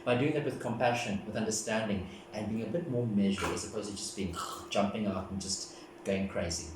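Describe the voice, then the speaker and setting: A man talks through a tarot reading in a gentle, meandering way, circling back over his points. His voice sits on the low side. The mic is some distance away in a heavily echoing room, with steady background noise. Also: fairly quick. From South Africa. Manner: gentle, meandering, repeats "as well" often. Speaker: tarot card reader. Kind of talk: casual spoken tarot reading.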